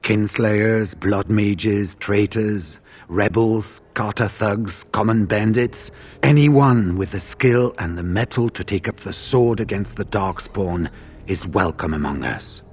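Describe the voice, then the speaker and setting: Male seasoned order warrior, fantasy game: slightly deep, measured, pragmatic, formal. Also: husky, exaggerated voice. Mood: firm, reasoning. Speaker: voice actor in a fantasy role-playing game. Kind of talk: dramatic fantasy game cutscene acting.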